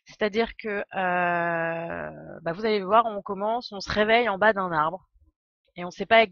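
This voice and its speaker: A woman's voice: mid-range voice, measured pace, vocal fry, no room sound, highs cut off. Congested young woman, casual streamer. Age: 20s. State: congested with a cold. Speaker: game streamer, host. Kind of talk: casual live stream chat.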